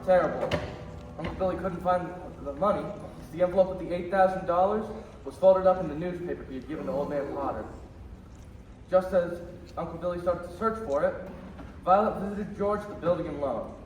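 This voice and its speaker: An actor playing a solemn heavenly narrator, telling the story in a measured, grave way in a stage radio play. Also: male voice, sits high, a little mellow, a little hiss or hum, close to an everyday voice. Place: high school theater stage. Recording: echoey distant stage, faint music.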